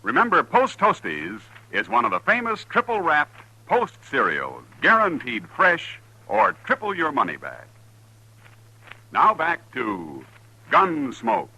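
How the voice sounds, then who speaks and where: A man with a husky voice delivering a 1950s radio commercial pitch as an announcer. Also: huge pitch swings, slow pace, bright, forceful tone, rough recording.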